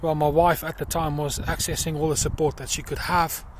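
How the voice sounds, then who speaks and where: A middle-aged man with a South African accent, talking earnestly about himself in a personal vlog while out walking in a field, with many pauses and fillers. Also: a little high, little pitch movement, slight background noise. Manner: earnest and reflective, pausing with fillers. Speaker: crowdfunding campaigner. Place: outdoors in a field.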